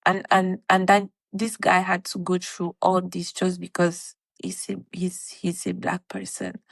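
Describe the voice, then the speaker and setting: Woman, twenties, from Cameroon; podcast co-host, lively storyteller who acts out dialogue, dry close sound. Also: on the low side, a little slow, creaky, dry recording. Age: 20s. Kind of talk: casual chat.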